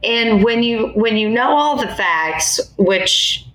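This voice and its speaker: A woman with an American accent answering interview questions as an attorney, in a measured, explanatory conversational way. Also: a little high, animated, a bit bright. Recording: echoey, roomy sound.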